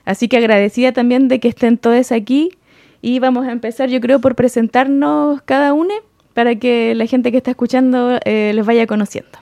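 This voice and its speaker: A woman radio host opening the program and inviting guests to introduce themselves in a gentle way with fillers. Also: a little high, fairly even intonation, fairly quick, no room sound.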